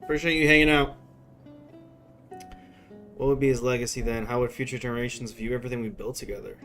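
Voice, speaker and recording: A man's voice: mid-range voice, steady tone, fast, fairly bright tone. An American man chatting casually and in slang while live-streaming a game. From United States. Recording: game music behind the voice.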